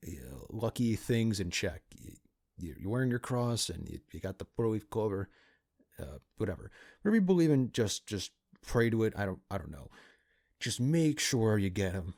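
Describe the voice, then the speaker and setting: A man playing a cynical, pragmatic partner in crime to a vigilante in an ASMR roleplay, talking in a casual, halting, conspiratorial way. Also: fairly low pitch, a little mellow. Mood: dry, uneasy. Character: cynical, pragmatic male criminal accomplice to a vigilante.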